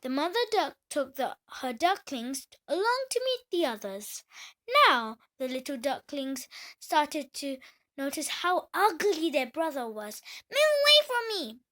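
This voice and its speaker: A woman reading a children's story aloud as the storyteller, with an expressive delivery. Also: high-pitched, unhurried.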